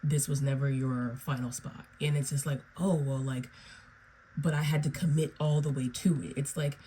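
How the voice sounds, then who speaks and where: A woman talks her viewers through a tarot spread in a low, mellow voice that is a little raspy from feeling unwell. She wanders through her points and keeps checking in with "okay?" and "right?". A faint hiss of background noise sits under her voice. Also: level tone, moderate pace. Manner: meandering, repeats "okay?" and "right?". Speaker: tarot reader. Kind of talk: solo tarot reading to viewers.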